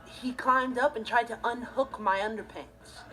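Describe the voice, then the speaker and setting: A man's voice: very high voice, a little slow, pushed and bright, a little hiss or hum, full character voice, poor audio. A young male actor playing an earnest, responsible, easily exasperated teenage stepbrother, telling stories with emphatic comic timing in a TV sitcom. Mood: earnest storytelling. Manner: emphatic, theatrical comic delivery. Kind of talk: scripted comic monologue in a TV sitcom. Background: music and laughter.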